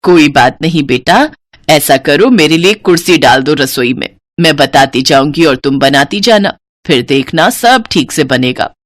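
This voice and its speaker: Woman playing a low-pitched practical older mother. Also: animated, a little slow, dry recording. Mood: gentle, caring. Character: older mother and mother-in-law, practical, nagging but caring.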